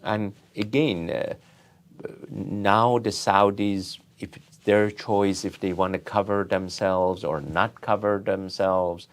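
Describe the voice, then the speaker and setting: Man, middle-aged or older, from Iran, low voice, very slow pace, deliberate halting phrasing, conversational interview guest. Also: steady tone. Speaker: interview guest, tech company founder and CEO.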